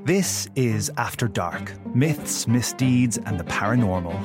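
A man co-host reading a dark history podcast trailer with dramatic, drawn-out delivery. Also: medium pitch, animated, over music. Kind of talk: scripted podcast promo.

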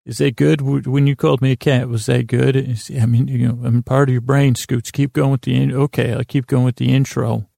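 A man with a creaky voice hosting a bedtime podcast, rambling in a meandering, halting and repetitive way to help listeners fall asleep. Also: medium pitch, little pitch movement, mellow, no echo, studio quality. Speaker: podcast host.

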